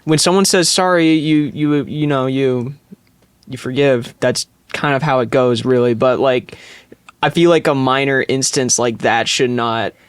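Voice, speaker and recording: A man's voice: mid-range voice, fairly even intonation, a little slow, clear, resonant tone, dry recording. A man co-hosting a podcast in casual chat, tentative and peppering his talk with "like" and "you know.". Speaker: podcast co-host.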